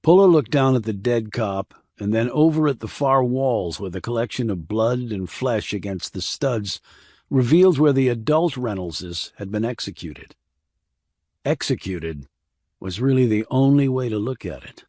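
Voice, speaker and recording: A man narrating a crime thriller audiobook in a measured, serious, scripted reading. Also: mid-range voice, expressive, darkish tone, no echo, clean studio sound.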